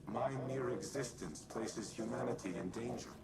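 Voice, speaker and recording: A man playing a noble, stoic artificial intelligence in a video game cutscene, speaking formally and deliberately. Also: mid-range voice, vocal fry, over a noisy crowd, close to an everyday voice. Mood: solemn, resigned. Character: solemn, noble male artificial intelligence war machine. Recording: distant voice under loud effects.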